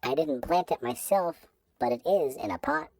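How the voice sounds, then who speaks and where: A man's voice: on the high side, huge pitch swings, normal speed, creaky, a little mellow, no room sound, voice pushed up, full character voice. A man voicing a grumpy little male garden gnome, curt and grumbling, in a comic story reading. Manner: curt, grumbling, disdainful of humans.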